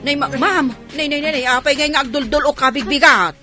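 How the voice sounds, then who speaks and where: A woman's voice: sits high, fairly quick, music in the background, close to an everyday voice. A woman playing an outspoken, nosy, loyal household helper in an Ilocano audio drama, exclaiming and scolding. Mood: fussing, concerned. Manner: exclamatory, scolding, repeats words.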